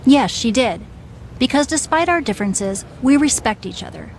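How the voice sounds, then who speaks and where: A woman's voice: sits high, animated, normal speed, a little hiss or hum. A woman playing a bossy, seasoned wrestling legend in video game dialogue, firm, matter-of-fact and wry. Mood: frank, firm.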